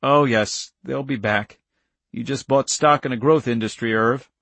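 A man voicing a gentle, weary father in an audiobook story, quiet and soothing. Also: mid-range voice, measured pace, creaky, dry recording. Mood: wry.

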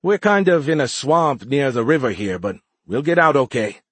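Man as a breezy, amused woman pilot, lifted pitch. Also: normal speed, no room sound, lightly acted. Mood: mirthful. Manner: breezy, mirthful. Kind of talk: audiobook character voice.

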